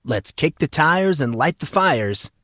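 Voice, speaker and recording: A man's voice: fairly high pitch, animated, measured pace, bright, forceful tone, dry recording, clean studio sound. A man hosting a podcast, giving a breezy, informal scripted introduction. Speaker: podcast host. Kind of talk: casual scripted intro and recap. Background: music.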